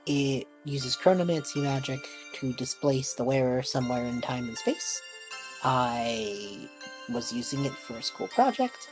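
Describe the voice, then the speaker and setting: Young woman playing college-age male stowaway, tabletop roleplay. Very low-pitched, rambling, apologetic, music behind. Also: low-quality recording. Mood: sheepish, explanatory. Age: young adult.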